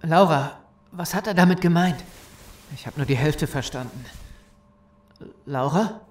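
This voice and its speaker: A man's voice: on the high side, measured pace, lightly acted. An actor playing an agitated, sceptical male survivor in a horror audio drama. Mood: confused, sceptical. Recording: roomy echo, faint noise.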